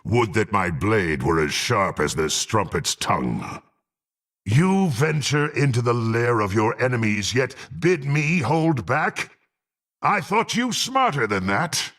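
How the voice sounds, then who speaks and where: A brutal half-orc warrior from a fantasy game, contemptuous and hungry for power. The gravelly voice moves slowly but swings widely, dripping with haughty menace. The phrasing is archaic and formal. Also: male voice, on the low side, fairly bright tone, full character voice. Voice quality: gruff, gravelly. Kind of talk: dramatic fantasy game voice acting.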